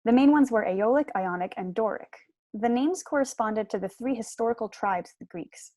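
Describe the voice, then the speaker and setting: A woman's voice: a little high, lively intonation, moderate pace, warm tone, dry recording. A young woman giving a prepared seminar lecture in an explanatory, articulate manner, recorded at home on a computer mic. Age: young adult. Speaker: lecturer, PhD student. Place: small room at home.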